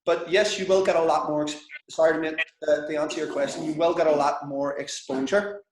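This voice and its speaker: A man's voice: a little high, steady tone, a little fast, roomy sound, slight background noise, rough recording. A man with a Belfast accent coaching a business group in a frank, chatty, sweary way. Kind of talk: casual coaching session with students.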